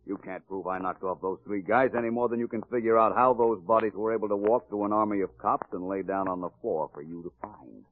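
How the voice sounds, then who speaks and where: An actor playing a sly, taunting ex-convict killer who talks in slang in an old-time radio crime drama. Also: male voice, on the low side, brisk, few pauses, natural voice. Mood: defiant, taunting. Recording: muffled vintage radio recording.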